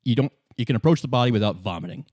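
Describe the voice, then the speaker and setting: A man acting as game master, narrating a tabletop game casually in a halting, conversational way. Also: medium pitch, expressive, darkish tone, dry recording, clean studio sound.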